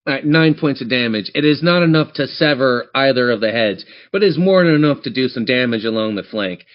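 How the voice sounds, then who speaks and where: A man's voice: medium pitch, animated, a little fast, highs cut off. A man running a tabletop game as game master, narrating scenes descriptively and speaking straight to his players. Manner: descriptive, addresses players directly.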